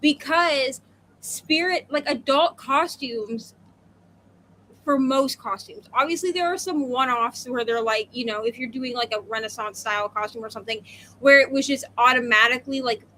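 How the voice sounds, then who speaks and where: A woman co-hosts a relaxed book chat, telling things conversationally with plenty of asides. Her voice is high and bright, and there is slight noise behind it. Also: moderate pace. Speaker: book discussion co-host. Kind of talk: casual chat, personal anecdotes.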